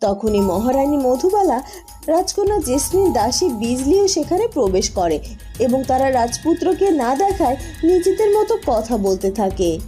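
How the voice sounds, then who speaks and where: A woman's voice: high-pitched, very animated, measured pace, mellow, faint music behind. A woman narrating a scripted fairy tale as storyteller.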